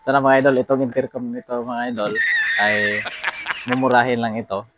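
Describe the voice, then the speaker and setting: A man's voice: medium pitch, steady tone, a little fast. A man with a Filipino accent hosting a casual unboxing vlog, speaking to viewers and often repeating his phrases. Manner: repeats phrases and address tags. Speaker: vlog host. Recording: some background noise.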